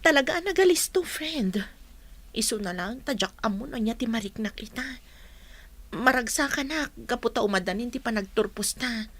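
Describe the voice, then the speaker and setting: A woman's voice: a little high, expressive, normal speed, a bit bright. A woman acting a concerned young student teacher confiding in a friend in an Ilocano radio drama, with an Ilocano accent. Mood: wistful.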